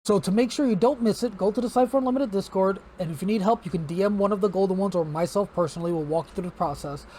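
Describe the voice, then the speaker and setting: The high-pitched, keen guy co-hosting a hobby livestream late in the evening, chatting away casually with some faint noise in the room behind him. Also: fast talker, few pauses, warm tone. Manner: enthusiastic, repeats words for emphasis. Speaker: co-host of a gaming community stream. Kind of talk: casual chat.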